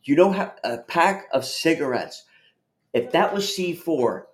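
A man's voice: fairly high pitch, lively intonation, a little slow. A man hosting a casual call-in discussion, talking in a blunt, rambling way with plenty of swearing. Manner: profane, blunt, rambling explainer. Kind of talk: casual chat.

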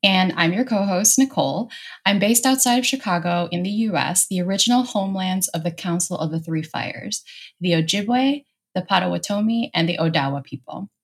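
A young woman from the United States co-hosts a podcast in a husky, lowish voice. Her talk is relaxed and friendly, with small fillers between thoughts. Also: normal speed. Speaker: podcast co-host. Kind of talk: casual podcast chat.

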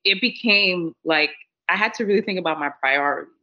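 A woman's voice: lowish voice, a little fast, sharp tone. Woman guest telling her own story, earnest and reflective, dull lo-fi sound. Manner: earnest, reflective, many "like" fillers. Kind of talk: personal testimony in conversation. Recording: dull lo-fi audio.

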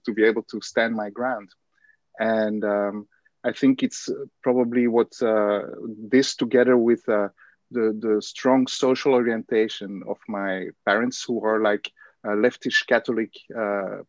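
A man's voice: mid-range voice, a little mellow, no echo. A man from Belgium answering interview questions about his upbringing, hesitant with frequent fillers. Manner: hesitant, many fillers and restarts. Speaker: guest. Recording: dull lo-fi dry sound.

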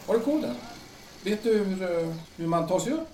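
Man playing an earnest, high-pitched, animated passer-by. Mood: pleading. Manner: hesitant, pleading, stammering. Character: earnest, pressing, nervous male passer-by, a carpenter. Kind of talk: audio drama acting. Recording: roomy, distant mic with noise.

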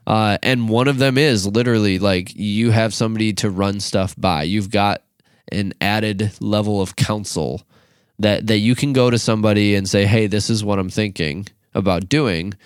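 Man, late twenties, youth pastor podcast host, smooth voice, dry close mic, rambling casual chat. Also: on the low side, normal speed, no echo. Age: 20s. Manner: relaxed, rambling with many fillers and restarts.

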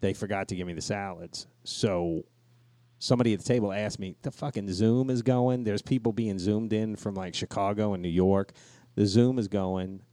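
A man's voice: on the low side, steady tone, moderate pace, warm tone, no echo. A man co-hosting a podcast, telling crude, swear-filled stories in casual banter. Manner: crude, profanity-laced. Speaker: podcast co-host.

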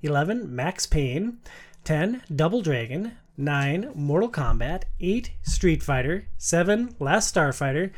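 A man's voice: on the high side, very animated, slow pace. A man hosting a podcast, leading a casual discussion about films. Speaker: podcast host. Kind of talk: casual moderated film discussion.

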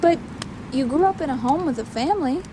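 A woman's voice: high-pitched, animated, fairly quick, background noise. A woman acting in an audio drama as a vulnerable young survivor, hesitant and tentative. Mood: curious. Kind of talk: naturalistic audio drama dialogue.

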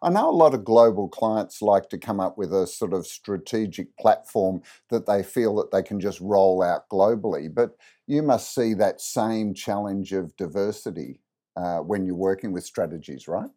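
A man's voice: low voice, normal speed, dark tone. A man from Australia hosting an interview podcast, chatting easily and leading his guests with questions. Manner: conversational, leading questions with tag questions. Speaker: podcast host.

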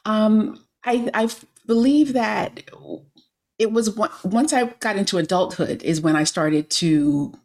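A woman in her forties, a coach being interviewed, telling her story in a reflective, halting way with frequent restarts. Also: mid-range voice, darkish tone. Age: 40s.

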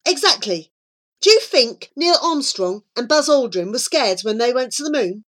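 Confident schoolgirl schemer for children's fiction, acted by a woman, bossy, emphatic, very animated delivery. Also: fairly high pitch, pitched above the performer's own voice. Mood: exasperated, persuasive. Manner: emphatic, coaxing, brisk. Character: bossy, confident young girl, persuasive schemer. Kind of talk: children's storybook character voice.

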